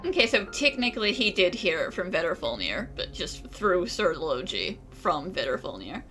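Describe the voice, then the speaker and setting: A woman hosts a game playthrough with casual, joking commentary. Her voice is bright and very animated in pitch, chatting away over the game's music. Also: normal speed. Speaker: gaming video host.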